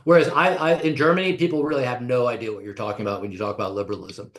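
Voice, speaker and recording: A man from the United States, a podcast guest, explaining ideas in a thoughtful, unhurried way with frequent pauses. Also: mid-range voice, fairly even intonation. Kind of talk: casual conversational discussion. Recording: echoey room.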